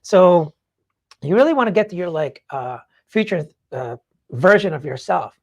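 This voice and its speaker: A man's voice: high-pitched, lively intonation, moderate pace. A man speaks in a loose, conversational way, like a podcast host giving motivational advice. His explanations ramble informally, sprinkled with filler phrases as he works toward each point. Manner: informal, rambling explanations peppered with "like" and "you know". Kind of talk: casual motivational talk.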